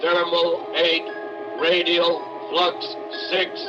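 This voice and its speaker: A muffled vintage radio play with loud music. a cold, relentless thinking machine in a raised pitch, dragging out halting mechanical commands extremely slowly. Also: male voice, completely flat delivery. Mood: cold, methodical. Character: cold, relentless electronic brain robot. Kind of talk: 1960s radio drama acting. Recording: dull old radio recording, loud music behind.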